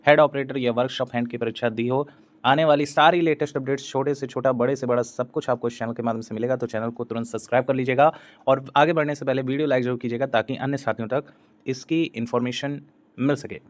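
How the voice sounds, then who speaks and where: A man's voice: mid-range voice, fairly even intonation, fast talker. A man hosting an exam-news channel, talking in a loose explainer style with frequent hesitations and English words mixed in. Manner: repetitive, hesitant fillers, Hindi-English mix. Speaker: exam coaching channel host. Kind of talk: explainer talk to camera.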